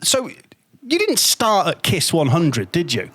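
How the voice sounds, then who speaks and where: A man's voice: a little high, wildly swooping pitch, takes it slowly, a bit bright, breathy edge, no echo. A man hosting a podcast, reading an upbeat intro and then chatting, laughing often. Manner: upbeat, laughs often, stumbles over questions. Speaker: podcast host. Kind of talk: scripted intro then casual interview.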